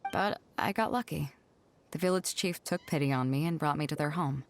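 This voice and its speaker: A woman's voice: deep voice, moderate pace. An actress voicing a proud, tough adult pirate ship captain in a game cutscene, telling her past in a wistful, wry, confident way. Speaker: game character. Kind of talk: dubbed video game cutscene dialogue.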